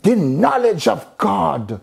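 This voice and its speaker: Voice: medium pitch, sing-song, slow, creaky, husky. A preacher delivering an impassioned, exhorting sermon, repeating phrases for emphasis.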